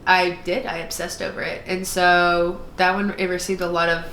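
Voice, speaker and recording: A woman with a bright, crisp-edged voice tells personal stories in a loose, conversational way. She slips into quoted remarks as she goes. The room around her is noticeably echoey. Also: lowish voice, flat delivery, moderate pace, a little hiss or hum. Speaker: podcast co-host, former art student. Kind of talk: casual chat, animated personal storytelling. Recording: roomy, echoey sound.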